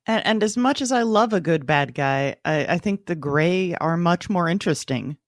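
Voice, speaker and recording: A woman's voice: on the low side, expressive, normal speed, no echo, studio quality. A woman co-hosting a podcast, talking through her thoughts in a reflective, explanatory way. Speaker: podcast co-host. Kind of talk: casual book club discussion.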